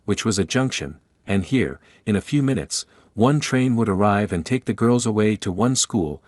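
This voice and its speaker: A man narrates a storybook from a script. His voice sits fairly low. The recording is dry, with no room sound. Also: a little mellow, dry recording.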